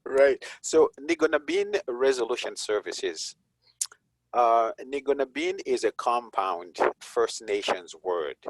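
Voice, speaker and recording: A man from Zimbabwe, a police chaplain and guest, talking in an unhurried, reflective way with frequent chuckles. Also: mid-range voice, lively intonation, no room sound, rough recording. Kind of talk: conversational interview.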